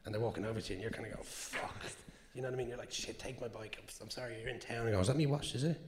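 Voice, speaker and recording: An Irish actor with a naturally hoarse voice, answering audience questions candidly and rambling, with fillers and swearing. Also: male voice, medium pitch, very fast, noisy background. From Ireland. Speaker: actor guest. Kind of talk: live Q&A answers. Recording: long hall reverb.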